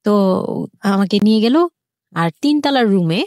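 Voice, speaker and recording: A woman's voice: mid-range voice, animated, moderate pace, a little mellow, no room sound. Bangladeshi woman recounting her life, dry sound. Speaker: guest telling her life story. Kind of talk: informal personal storytelling.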